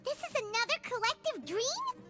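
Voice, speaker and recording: A woman voicing a tiny, curious, childlike fairy companion in an anime video game, with squeaky, exclaiming delivery. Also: huge pitch swings, normal speed, over music, heavily acted. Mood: inquisitive. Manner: speaks of self in third person, exclaiming.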